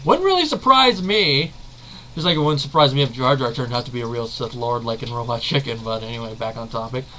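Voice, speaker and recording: A man's voice: mid-range voice, lively intonation, fairly quick, pushed and bright, a little hiss or hum, rough recording. A man hosting a video, giving casual, rambling fan commentary and correcting himself as he goes. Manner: rambling, leans on the filler "basically"; analytical, self-correcting. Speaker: video host.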